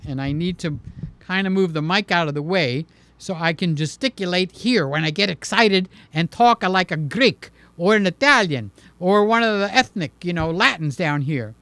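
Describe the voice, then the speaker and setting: A man's voice: high voice, expressive, normal speed, sharp tone. A man hosting a casual live stream, answering viewers and stressing his points with a repeated "okay?". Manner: emphatic, ends points with "okay?". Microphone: mic placed in front of him.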